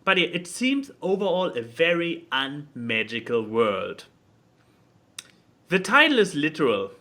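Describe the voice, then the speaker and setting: A man's voice: on the high side, sing-song, unhurried, sharp tone. A man chatting casually about a book, rambling and repeating words as he thinks aloud. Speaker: podcast speaker reviewing a book. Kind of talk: casual opinionated chat.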